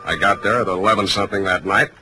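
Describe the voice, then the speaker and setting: Low, deadpan American private eye, played by a man. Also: fast, close to an everyday voice. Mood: grim narration. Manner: deadpan, clipped narration and dry banter. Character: wry, hard-boiled male private detective, laconic and cynical. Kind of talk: 1940s radio acting. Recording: noisy old radio drama recording.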